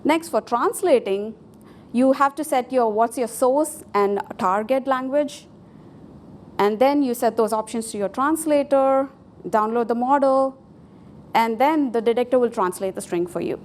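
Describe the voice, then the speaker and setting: A woman's voice: fairly high pitch, unhurried, a little mellow, slight background noise. A woman whose first language is Tamil, giving a conference talk with a live demo, speaking informally with fillers and restarts. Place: conference hall.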